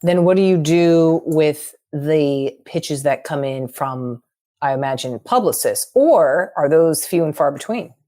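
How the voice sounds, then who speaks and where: Low-voiced woman interview host. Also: lively intonation, a little slow, warm tone. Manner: affirming, encouraging, echoes answers. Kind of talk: conversational interview.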